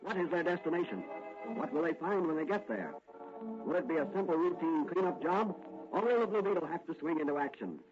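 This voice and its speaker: A man's voice: on the high side, brisk, music in the background. A man narrating a 1940s radio serial in a formal, suspenseful scripted style. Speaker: narrator, announcer. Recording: muffled 1940s radio recording, faint hiss.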